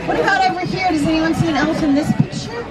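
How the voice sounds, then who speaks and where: A woman reading a storybook aloud to children in an expressive way, often putting questions to them. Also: extremely high pitch, fast. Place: live reading inside a large toy shop. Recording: busy echoey store, crowd and loud music.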